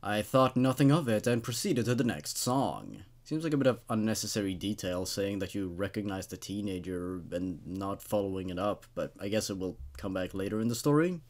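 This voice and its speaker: A young man in his twenties narrates creepy stories into a studio microphone. His mid-pitched voice swings widely as he reads with drama, then drops into casual, wry commentary. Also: darkish tone. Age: 20s. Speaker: host reading horror stories. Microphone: studio condenser microphone on a stand.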